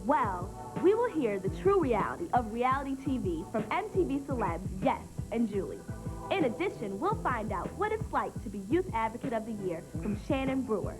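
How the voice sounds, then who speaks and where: A woman's voice: on the high side, sing-song, brisk, rough recording. A woman hosting a show, reading a scripted introduction. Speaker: show host. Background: loud music.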